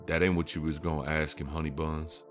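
An actor playing a teasing, folksy drifter with rustic, needling talk in an audio drama. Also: male voice, extremely low pitch, little pitch movement, fairly quick, faint music behind. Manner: drawling rustic slang, needling. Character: teasing, folksy drifter living in an old station.

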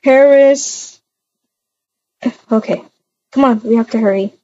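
Casting: woman, higher-pitched, mellow voice, improvised game roleplay, talks through each action. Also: takes it slowly, dry recording, muffled recording. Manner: chatty, narrates own actions aloud. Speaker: roleplay video creator.

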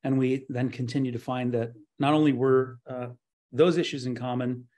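Man, middle-aged, online presenter, medium pitch, halting phrasing, video call. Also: steady tone, a little mellow. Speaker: conference presenter, nonprofit co-founder. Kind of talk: slide presentation at a virtual expo. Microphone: webcam on a video call.

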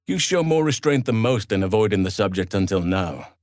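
An actor playing a gentle, solemn druid healer in a fantasy video game, speaking in a measured, earnest, formal way. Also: male voice, lowish voice, lively intonation, no echo, studio quality, lightly acted. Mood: warm, earnest.

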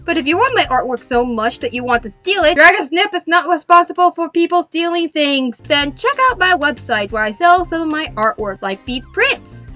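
A young adult woman narrating a craft video as host in a playful, animated, self-mocking way. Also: high voice.

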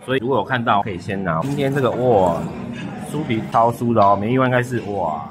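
A man's voice: mid-range voice, fast talker. A man with a Taiwanese Mandarin accent, chatting casually as he walks through a busy hotel buffet and lists the dishes one by one, often adding little tags like "ha" and "a". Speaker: food vlogger. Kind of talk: casual restaurant walkthrough. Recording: noisy restaurant background.

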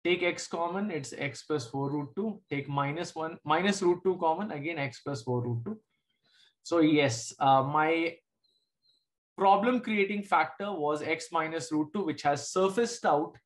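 A man's voice: a little high, measured pace. A man in his thirties from Jharkhand with an Indian English accent and Hindi as his first language, teaching math on a video call, explaining step by step and checking in with students often. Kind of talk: online class teaching. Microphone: computer mic on a video call.

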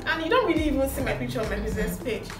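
A woman's voice: high voice, expressive, racing. A young Nigerian-accented woman chatting casually and animatedly as she tells a story. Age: young adult. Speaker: makeup artist telling stories in a vlog. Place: small plain indoor room. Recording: roomy echo, distant mic, music behind.

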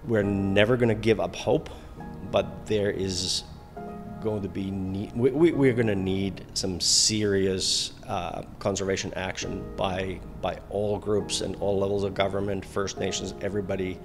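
A man speaks hesitantly in a very deep, flat voice, restarting often. Music runs clearly under his words. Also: a little slow. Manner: hesitant, many fillers and restarts. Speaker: interviewee. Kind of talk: news interview. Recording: music bed under speech.

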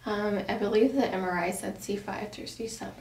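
A woman's voice: mid-range voice, fairly quick, creaky, darkish tone. A woman in her thirties speaking casually as a patient describing her medical history. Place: indoor chiropractic office. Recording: roomy, distant, faint office noise.

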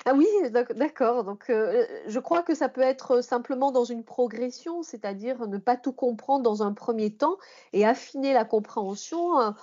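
A woman's voice: on the high side, normal speed, warm tone. A woman explaining as an expert guest in a podcast interview, rephrasing and repeating points for emphasis. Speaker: guest expert, language teacher and researcher. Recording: muffled, band-limited podcast audio.

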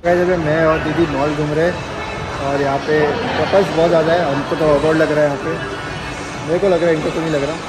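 A man's voice: fairly high pitch, fairly even intonation, quick pace. A young adult Indian man chatting casually and teasingly to his vlog viewers, mixing in English words. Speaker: vlogger. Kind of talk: casual vlog chat in Hindi-English mix. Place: busy malls and night food courts. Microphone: phone at arm's length. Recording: noisy outdoor crowd and traffic ambience.

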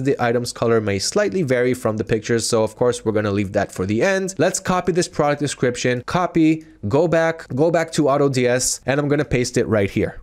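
Energetic man teaching an online tutorial. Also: mid-range voice. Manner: energetic, talks straight to viewers, reads text aloud. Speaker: e-commerce tutorial presenter. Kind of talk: screen-share software tutorial. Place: indoor home studio, seated in a gaming chair.

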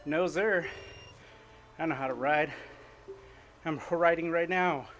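A middle-aged man streaming a game while cycling at home, chatting upbeat and thinking out loud. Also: fairly high pitch, slow pace. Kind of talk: casual gaming commentary while cycling. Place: home room with indoor bike.

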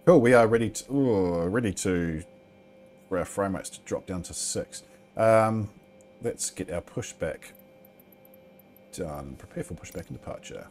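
A man's voice: sits low, lively intonation, measured pace, pauses often, a little mellow, slight background noise. A man in his thirties with a New Zealand accent giving casual, rambling flight sim commentary full of ums and the odd swear, speaking into a headset at home.

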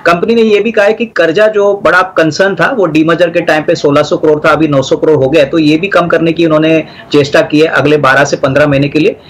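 A middle-aged man, a market analyst on a business TV channel, giving steady stock advice and mixing in English terms. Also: a little high, brisk, hardly any pauses. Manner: steady advisory tone, Hindi mixed with English terms.

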